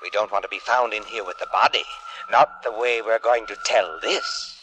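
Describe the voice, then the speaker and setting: An actor playing a sly, coolly wicked tempter who coaxes and insinuates, in the style of 1950s radio drama. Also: male voice, on the low side, lightly acted, rough recording. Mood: sly, coaxing. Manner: insinuating, unhurried coaxing. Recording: vintage 1950s radio broadcast recording.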